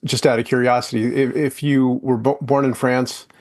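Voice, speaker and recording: A man's voice: mid-range voice, level tone, normal speed. A man hosting a podcast interview, talking loosely with lots of fillers and restarts. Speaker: podcast host. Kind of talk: casual interview chat.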